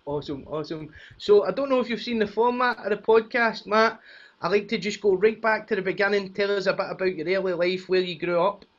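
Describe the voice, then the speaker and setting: Male host, high voice, short upbeat prompts, dull lo-fi audio. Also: fairly quick. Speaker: podcast host. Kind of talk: casual interview hosting.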